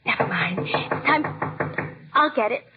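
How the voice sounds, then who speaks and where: An actress playing an anguished, forgiving young wife and mother in 1940s radio drama, husky and breathy. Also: fairly high pitch, lively intonation, slow pace, music in the background. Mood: strained, gentle. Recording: muffled 1940s radio recording.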